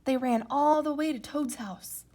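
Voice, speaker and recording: A woman reading a children's storybook aloud as an expressive narrator. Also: high voice, a little slow. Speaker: storybook reader.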